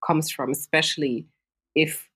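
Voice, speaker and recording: A woman's voice: low voice, steady tone, measured pace, a bit bright, breathy edge. A woman hosting a podcast, asking long thoughtful questions in a conversational interview. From Africa. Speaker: podcast host.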